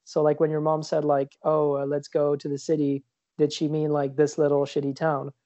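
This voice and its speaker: A man from Switzerland chats loosely on a podcast, circling his points with many fillers and false starts. His voice is dark and mellow. The sound is dry and a little dull. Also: on the high side, monotone, normal speed, no echo. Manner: rambling, many fillers and restarts. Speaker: podcast co-host. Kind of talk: casual chat. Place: lives in New York.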